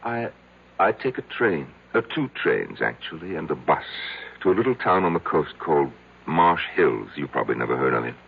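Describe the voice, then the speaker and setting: Very deep, gravelly, halting broken man. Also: big pitch swings, full character voice. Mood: quiet, matter-of-fact. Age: middle-aged. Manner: halting, hesitant, repeating himself. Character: petty, self-loathing, lonely, betrayed husband confessing to his psychiatrist. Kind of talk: radio drama acting. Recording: tinny, band-limited old radio broadcast.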